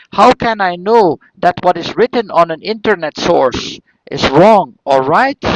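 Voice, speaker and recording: A man with a Flemish accent speaks in a notably high voice, caught in dull, dry lo-fi audio. He is an academic fielding seminar questions, often circling back over his words and asking rhetorical questions as he goes. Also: no room sound. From northern Belgium. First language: Flemish Dutch. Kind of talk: answering audience questions at an online seminar.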